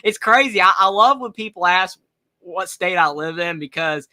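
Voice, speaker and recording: A twenty-year-old man from North Carolina with a Southern accent, calling a game live and reading viewer questions with excitement. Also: moderate pace. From North Carolina, USA. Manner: excited, rising pitch on big plays. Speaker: sports live-stream host. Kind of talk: live play-by-play reaction with viewer chat.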